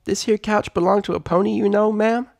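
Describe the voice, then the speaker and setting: A man's voice: high-pitched, fairly even intonation, fairly quick, gravelly, a little mellow, no room sound, pitched above the performer's own voice. A man voicing a small, curious and bossy cartoon filly with folksy country talk, in a story reading. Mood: curious, polite.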